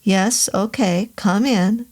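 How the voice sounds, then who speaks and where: A woman's voice: medium pitch, slow pace, a little mellow. A woman voicing a formal, polite middle-aged male innkeeper with a foreign accent and stiff phrasing. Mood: earnest.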